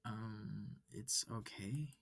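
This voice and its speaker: A man voicing a wary, confused human student in a visual novel, halting and skeptical. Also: on the low side, creaky, fairly bright tone, husky, no echo, exaggerated voice. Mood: uncertain, puzzled. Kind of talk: visual novel character reading.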